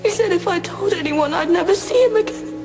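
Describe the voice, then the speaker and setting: A woman playing a frightened, guilt-ridden teenage schoolgirl testifying in a courtroom audio drama, halting and emotional. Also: very high-pitched, music in the background. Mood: tearful, guilty.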